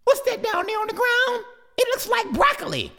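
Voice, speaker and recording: A man voicing a young boy hawk chick who whines and cries out in a high squeal. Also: wildly swooping pitch, moderate pace, creaky, sharp tone, long reverb tail, heavily acted. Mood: nervous. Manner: squealing, crying out.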